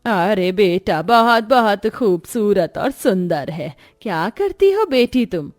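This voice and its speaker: A woman voicing a doting elderly grandmother in a cartoon moral story, speaking in an affectionate, drawn-out way. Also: mid-range voice, sing-song, no echo, studio quality, soft background music. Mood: admiring.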